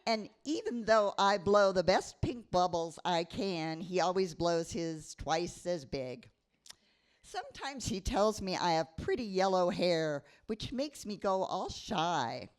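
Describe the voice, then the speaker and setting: A woman's voice: fairly low pitch, sing-song, slow pace. A woman voicing a bossy, bookish nine-year-old girl who narrates a story at a live reading. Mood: matter-of-fact storytelling.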